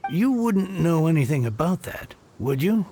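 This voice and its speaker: A man's voice: fairly high pitch, big pitch swings, moderate pace, warm tone, faint music behind. A man voicing an anxious merchant who stammers and frets in a game. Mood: wary. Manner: nervous, stammers, exclaims. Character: anxious middle-aged male merchant, frazzled and stammering. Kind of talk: game dialogue.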